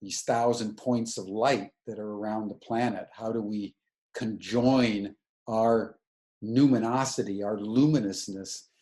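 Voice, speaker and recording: A man's voice: lowish voice, fairly even intonation, a little slow, mellow. Earnest man lecturing on a video call. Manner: earnest, searching, many restarts. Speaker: webinar lecturer. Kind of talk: reflective spiritual lecture.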